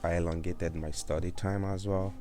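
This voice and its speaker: A man's voice: low-pitched, steady tone, normal speed, dark tone. A Nigerian man in his thirties, a guest chatting casually in an interview, hesitant with many fillers and restarts. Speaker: guest, cloud engineer.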